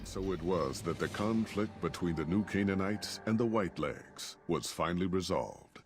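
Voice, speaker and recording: A man narrating a game epilogue in a steady, storytelling way, over game music. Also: deep voice, normal speed.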